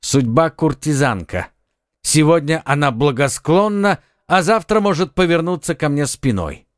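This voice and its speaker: A man's voice: on the high side, lively intonation, a little slow, no room sound. An ardent young Gascon swordsman exclaims with passion in a slightly raised voice, as a male narrator reads him. The sound is dry and close-miked. It is an audiobook reading. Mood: bold. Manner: impassioned, exclaiming.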